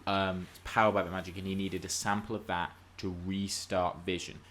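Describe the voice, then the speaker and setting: A man's voice: low voice, flat delivery, a little fast, fairly bright tone, slight background noise. A man hosting a podcast, chatting casually about pop culture in an opinionated, theatrical way. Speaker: podcast host.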